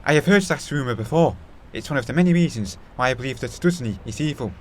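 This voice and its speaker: A man's voice: medium pitch, lively intonation, fast talker, lightly acted. A man playing an earnest, suspicious young crusader with an accusing manner in an audio play.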